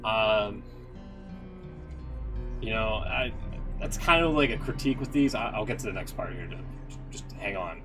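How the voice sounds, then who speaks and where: Male streamer, lowish voice, casual and rambling commentary, slightly off-mic with clear music behind. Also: normal speed, long pauses, far from the mic. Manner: rambling, hesitant, with trailing asides. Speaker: streamer reacting to game story readings.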